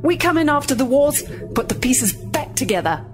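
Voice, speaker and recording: A woman's voice: high-pitched, big pitch swings, moderate pace, over music. An actress playing a prickly, sardonic corporate woman on a space station in a sci-fi audio drama. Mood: indignant. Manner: sardonic, cutting.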